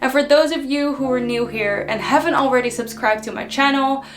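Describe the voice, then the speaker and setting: A woman from the Netherlands, giving an unhurried, reflective motivational talk to her viewers with frequent ums. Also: high voice. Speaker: personal development vlogger.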